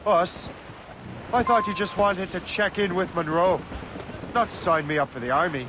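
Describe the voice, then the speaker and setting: Young ship captain, guarded, plainspoken, lively mid-pitched voice, game dialogue, noisy sea ambience. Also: male voice, normal speed. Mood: skeptical. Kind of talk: dramatic video game dialogue.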